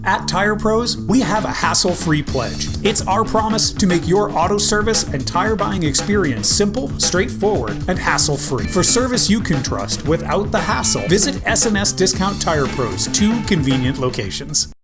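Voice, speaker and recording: Smooth spot announcer; loud music bed. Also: mid-range voice, expressive, normal speed, few pauses. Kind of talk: scripted ad read.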